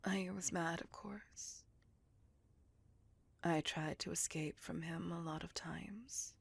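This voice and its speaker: Female vampire, played by a woman, wounded and bitter, for ASMR audio drama. Slightly low, heavily breathy whisper, intimate confessional delivery. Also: steady tone, no room sound, heavily acted. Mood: reflective, subdued. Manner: slow, intimate, confessional storytelling. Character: beautiful, melancholy, bitter female vampire recounting her past. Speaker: voice actor in audio roleplay. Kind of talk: whispered ASMR roleplay monologue.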